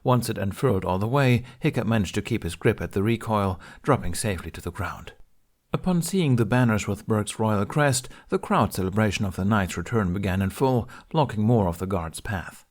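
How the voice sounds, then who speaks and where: Low-voiced man narrating an adventure story. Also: lively intonation, normal speed. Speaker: podfic narrator.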